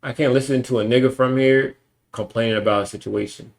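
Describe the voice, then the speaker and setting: Streamer, young adult male, mid-pitched and gravelly, blunt and emphatic, swears a lot. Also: steady tone, brisk. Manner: blunt, profane, emphatic ranting. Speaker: livestreamer. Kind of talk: casual stream monologue.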